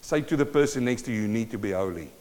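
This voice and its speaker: A man's voice: mid-range voice, expressive, fast, a little mellow. A South African-born man preaching a sermon, emphatic and full of rhetorical questions to the congregation. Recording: long hall reverb.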